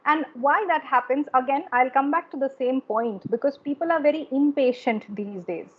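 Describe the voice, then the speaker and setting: A woman's voice: high voice, moderate pace, lo-fi sound. A woman with an Indian English accent and Bengali as her first language, coaching English in an emphatic, repetitive, teacherly way. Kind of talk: instructive conversation.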